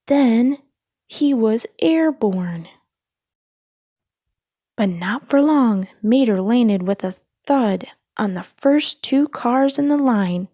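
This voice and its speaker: A woman's voice: a little high, expressive, slow pace, warm tone, dry recording, studio quality. A woman reading a children's storybook aloud as a scripted narration.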